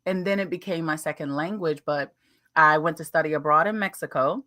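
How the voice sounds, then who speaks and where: An American woman in her late thirties, a guest telling her travel story in a casual interview, animated with playful asides and laughter. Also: low-pitched, brisk, dry recording, clean studio sound. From United States.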